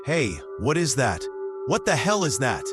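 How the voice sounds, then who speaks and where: A man's voice: medium pitch, wildly swooping pitch, unhurried, music in the background. A man playing an alarmed bystander in an amateur disaster drama. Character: alarmed bystander sighting a giant sky entity. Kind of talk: dramatic acting.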